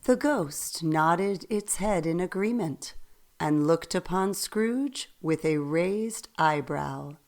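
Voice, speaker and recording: A woman narrating a radio play as a storyteller, reading in a measured way. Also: lowish voice, expressive.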